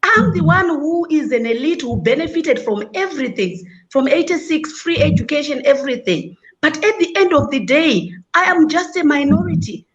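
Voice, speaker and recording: A woman with a high voice makes her point on a panel in an earnest, deliberate way. The sound is a little dull and lo-fi. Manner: earnest, deliberate, self-critical. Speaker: panelist, education leader. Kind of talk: panel discussion.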